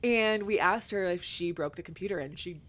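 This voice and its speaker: Hoarse bubbly woman podcast host. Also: fairly low pitch, fairly quick, fairly bright tone. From Ohio, US. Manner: bubbly, laughs often, swears casually. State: hoarse from getting sick. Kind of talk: casual podcast chat.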